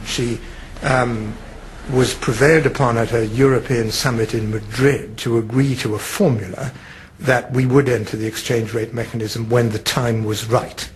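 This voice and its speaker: A man speaking in a measured, hesitant way in an interview, with frequent ums. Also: medium pitch, fairly even intonation, a little hiss or hum, rough recording. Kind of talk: interview recollection.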